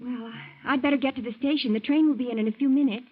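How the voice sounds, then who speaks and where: A woman's voice: high voice, steady tone, fast, slight background noise, natural voice. A woman playing a genteel society daughter. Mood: polite. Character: young society daughter, Della. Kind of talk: 1940s radio acting. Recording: muffled 1940s radio broadcast.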